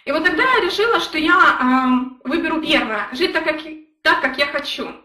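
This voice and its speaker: A woman's voice: high-pitched, lively intonation, moderate pace, bright, forceful tone, roomy sound, recorded from a distance. A young woman from Ukraine giving an earnest motivational talk on a live stream, telling her own story and speaking to viewers in the chat. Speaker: network marketing leader.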